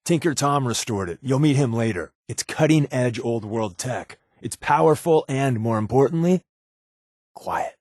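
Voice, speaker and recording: An actor playing a wry, secretive spy in a video game, with a glib, offhand, conversational delivery. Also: male voice, medium pitch, expressive, measured pace, darkish tone, dry recording, studio quality. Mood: matter-of-fact. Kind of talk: video game companion dialogue.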